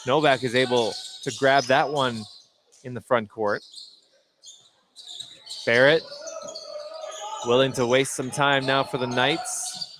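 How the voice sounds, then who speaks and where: A man's voice: mid-range voice, fairly even intonation, takes it slowly, long pauses. A man doing live play-by-play radio commentary of a basketball game as the announcer. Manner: rises to shouts on big plays. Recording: gym noise behind the voice. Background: basketball game in a gym, bouncing balls.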